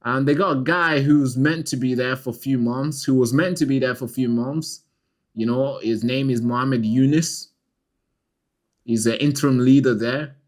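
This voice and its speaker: A man talks to his viewers in a relaxed, rambling way, circling back on his words as he comments on the news. His voice sits at an ordinary, middling pitch. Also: moderate pace. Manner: loose and chatty, with restarts and asides to viewers. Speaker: channel host. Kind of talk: casual news commentary to camera.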